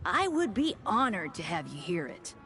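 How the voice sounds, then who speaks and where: A woman voicing a courteous, gently teasing young deacon, devout and polite, in a video game over music. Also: lowish voice, sing-song, moderate pace. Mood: reverent.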